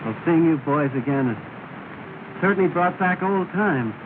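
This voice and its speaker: Man playing lively, genial gentleman, higher-pitched. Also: normal speed, lightly acted. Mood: warm. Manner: cordial, polished. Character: genial well-bred gentleman, friendly and patronizing. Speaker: supporting actor in a comedy film. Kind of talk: 1930 early sound film acting. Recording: scratchy, tinny, noisy 1930 film soundtrack.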